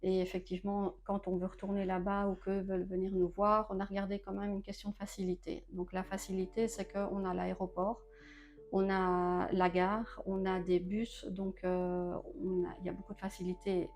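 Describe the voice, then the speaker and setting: A woman's voice: mid-range voice, level tone, moderate pace, a little mellow. A woman who grew up in Belgium, showing her home in a casual interview and talking with many hesitant filler sounds. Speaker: homeowner giving a home tour.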